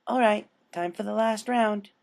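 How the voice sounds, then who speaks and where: Guy doing a crazy cheerful evil cartoon girl pony, way up high, chirpy and menacing. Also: a little slow, vocal fry. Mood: gleeful menace. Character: manic, cheerfully cruel young female cartoon pony villain. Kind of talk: fan fiction story reading.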